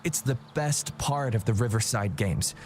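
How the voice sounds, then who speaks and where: A man's voice: mid-range voice, measured pace, slight background noise, natural voice. An actor playing a confident young male relay runner in a video game, casual and friendly. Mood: upbeat, friendly.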